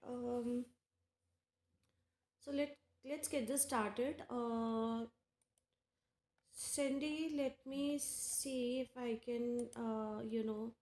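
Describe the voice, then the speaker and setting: A woman with an Indian English accent, hosting a live tarot stream and chatting casually with viewers by name, unhurried and full of fillers. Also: on the high side, darkish tone. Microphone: earphones with mic. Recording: echoey room.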